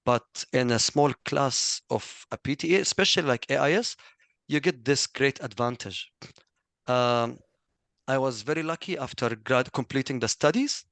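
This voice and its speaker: A middle-aged man with a thick Egyptian accent speaks earnestly, pausing on fillers and correcting himself as he goes. His voice sits in a middle pitch. The sound is dry and close, with no room echo. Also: fairly bright tone. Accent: Egyptian Arabic. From Egypt, raised in Kuwait. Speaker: former student speaker. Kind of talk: webinar testimonial talk.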